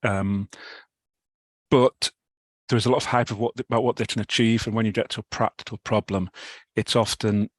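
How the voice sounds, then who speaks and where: A middle-aged British man with a cold, giving a talk over a video call, halting with many restarts. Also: lowish voice, fairly even intonation, breathy edge, no room sound. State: has a cold, clears throat. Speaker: guest speaker. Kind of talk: online talk presentation.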